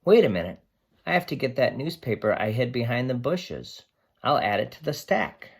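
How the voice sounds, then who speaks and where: A man voicing a friendly, curious dog who narrates a children's storybook in an animated, eager way. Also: mid-range voice, normal speed, vocal fry, breathy edge, exaggerated voice. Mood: excited, concerned. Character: friendly dog narrator helping his family recycle.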